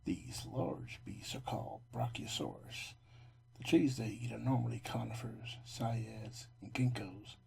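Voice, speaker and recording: A man playing a knowledgeable dinosaur scientist in an amateur audio drama, with a soft, husky, whispery voice. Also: lowish voice, lively intonation, unhurried, heavily acted. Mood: informative, curious.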